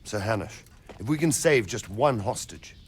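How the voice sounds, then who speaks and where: An actor playing an earnest, respectful soldier in a medieval video game, somewhat hesitant in delivery. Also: male voice, on the low side, animated, moderate pace, slightly rough. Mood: earnest, urgent. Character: earnest, deferential soldier proposing a daring plan. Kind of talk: dramatic video game acting.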